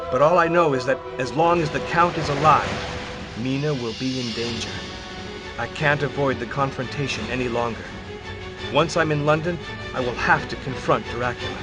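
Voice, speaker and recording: A man's voice: medium pitch, moderate pace, natural voice. An actor playing a determined young husband searching for his wife in a game cutscene, speaking in a defiant, earnest way. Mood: resolute. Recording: game audio with clear music score.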